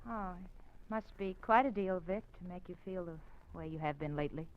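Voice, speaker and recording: An actress playing a trusting young wife in a 1940s radio drama, soft and pleading. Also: female voice, lowish voice, fairly quick, highs cut off, lightly acted.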